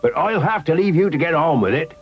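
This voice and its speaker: A man's voice: a little high, expressive, fast, dull audio, faint music behind, pitched above the performer's own voice. A man voicing a jolly, easily amused old seafaring neighbour in a children's story, with a folksy manner. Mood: helpful, cheery. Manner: chuckling, folksy, nautical exclamations.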